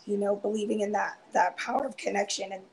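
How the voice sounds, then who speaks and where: A woman in her twenties, a guest on a video call, talking softly and hesitantly with many ums, her voice tiring and going hoarse. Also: medium pitch, little pitch movement, fast talker, poor audio. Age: 20s. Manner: soft-spoken, hesitant, many ums and restarts. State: losing her voice. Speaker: guest on a live round-table stream. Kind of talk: casual personal sharing. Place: indoors, home room. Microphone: earphone headset on a video call.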